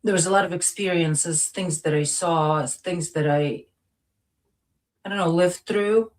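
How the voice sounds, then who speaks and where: Woman guest from Latvia. Low voice, unassuming, feels for her words in informal personal talk. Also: measured pace. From Latvia, then part of the Soviet Union. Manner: modest, hesitant, searching for words. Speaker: interview guest. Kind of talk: casual personal conversation.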